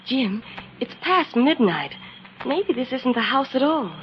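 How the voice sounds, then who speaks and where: An actress playing an eager, anxious, inquisitive sidekick to a detective hero in an old-time radio adventure. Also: female voice, high voice, unhurried. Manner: inquisitive, animated. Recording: muffled, noisy old radio recording.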